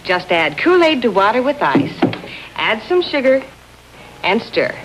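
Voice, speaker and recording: Lively fifties ad lady doing a sales pitch, voice swoops around, bit of old noisy audio. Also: unhurried. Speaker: advert spokeswoman. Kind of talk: 1950s advert sales pitch. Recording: noisy vintage advert audio.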